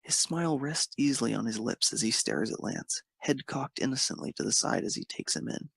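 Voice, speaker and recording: A man narrates romantic fan fiction with expression. His mid-pitched voice is strongly creaky and husky. The sound is dry, with no room around it. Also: very animated, brisk, no room sound.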